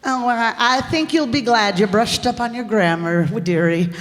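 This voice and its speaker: A woman's voice: on the high side, normal speed, close to an everyday voice. Woman acting a patient wife, echoey hall. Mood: fond. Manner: gently chiding, affectionate. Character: patient, fondly correcting wife of bumbling husband. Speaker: actor in radio comedy play. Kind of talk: old-time radio comedy acting. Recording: echoey hall, live stage performance.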